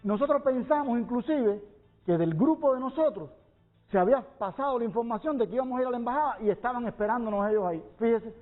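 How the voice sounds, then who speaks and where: Quick, high-voiced Cuban man. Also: phone-quality sound. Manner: insistent, defensive. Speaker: debate guest. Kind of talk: heated panel debate.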